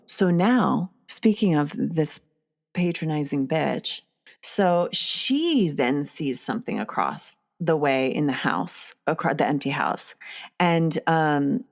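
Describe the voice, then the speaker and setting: A young woman hosting a casual film discussion, chatty and often pausing to search for words. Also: low-pitched. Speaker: podcast host.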